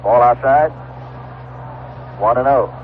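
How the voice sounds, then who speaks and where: A man working as a baseball radio announcer, talking in an unhurried, conversational way in an old broadcast. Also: medium pitch, narrow, tinny audio. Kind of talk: live radio baseball commentary with ad reads. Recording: noisy, thin vintage AM radio broadcast.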